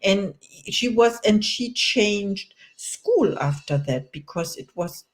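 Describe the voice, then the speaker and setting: A woman's voice: mid-range voice, lively intonation, slow pace. A woman hosting an interview, asking questions with hesitant phrasing and frequent light laughter. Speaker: podcast host.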